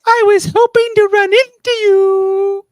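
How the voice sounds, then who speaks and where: A woman's voice: extremely high pitch, little pitch movement, measured pace, no echo, studio quality. A woman playing a confident, teasing female starship officer in an amateur radio play, with a coy, knowing manner. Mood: teasing, flirtatious.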